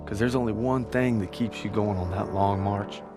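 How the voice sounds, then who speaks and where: A man playing a quiet, haunted young soldier. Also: lowish voice, steady tone, normal speed, over music, lightly acted. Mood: tender. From Texas. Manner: quiet, reflective. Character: young male American infantry soldier, haunted, loyal. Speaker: soldier and narrator. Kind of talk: video game cutscene acting. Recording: game score under voice.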